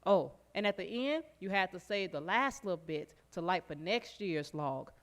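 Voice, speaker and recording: A woman playing a lively, sly plantation servant who reminisces in an animated, folksy stage monologue, as if sharing secrets. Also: fairly low pitch, normal speed, lightly acted. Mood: sly, gleeful. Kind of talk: theatrical folk storytelling monologue on stage. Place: live stage performance in a recreation hall.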